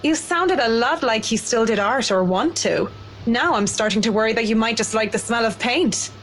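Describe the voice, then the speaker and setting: An actress playing a self-assured professional woman in a visual novel, dry, teasing and measured. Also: on the high side, slight background noise, close to an everyday voice. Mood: blunt, advising.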